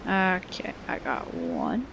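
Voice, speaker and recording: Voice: mid-range voice, huge pitch swings, slow, vocal fry, noisy background. A video game streamer giving casual gameplay commentary in short muttered exclamations, with game music behind.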